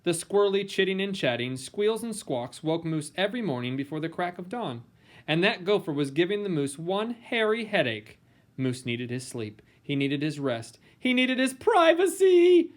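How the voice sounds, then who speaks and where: A man's voice: high-pitched, sing-song. Theatrical man reading a children's storybook. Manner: theatrical, playful, dramatic emphasis and pauses. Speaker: storybook reader. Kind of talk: expressive read-aloud of a children's picture book.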